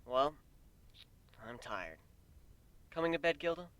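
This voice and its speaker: A man's voice: a little high, lively intonation, slow, a bit bright, pitched above the performer's own voice. Man as a brooding, intense griffin. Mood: tired, hesitant. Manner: intense, emphatic, dramatic. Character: angry, weary male griffin, defiant and brooding. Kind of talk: dramatic fan fiction reading.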